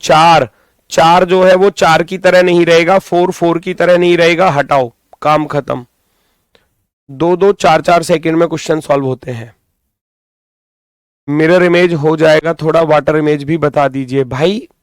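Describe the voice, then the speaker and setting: Male coaching teacher, higher-pitched, recovering throat, dry close sound, informal and directive, repeats key phrases. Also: fairly even intonation, a little slow, clear, resonant tone, no room sound. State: strained throat, recovering from lost voice. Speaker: reasoning teacher for exam coaching. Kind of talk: live class lesson, thinking aloud.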